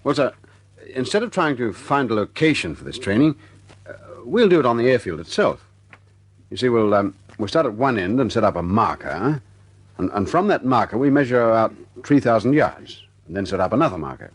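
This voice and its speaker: Husky, eager RAF officer. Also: male voice, medium pitch, sing-song, a little fast. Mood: eager, respectful. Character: keen, respectful RAF squadron officer, practical and eager. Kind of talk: wartime radio drama acting.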